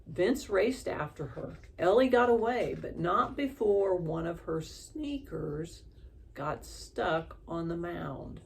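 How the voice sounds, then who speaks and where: A woman reading a children's storybook aloud as an expressive storyteller. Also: on the low side, slow, gravelly, slight background noise.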